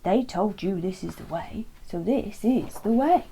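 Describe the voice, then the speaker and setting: A woman voicing a trusting, reassuring storybook companion who speaks slowly and with emphasis. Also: mid-range voice, lively intonation, vocal fry, warm tone, a little hiss or hum.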